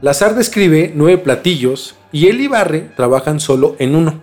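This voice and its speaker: A man with a Mexican accent in a casual, colloquial podcast conversation, explaining a story in a halting, conversational way. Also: fairly high pitch, animated, a little mellow. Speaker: podcast host telling a case. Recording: music under the voice. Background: background music.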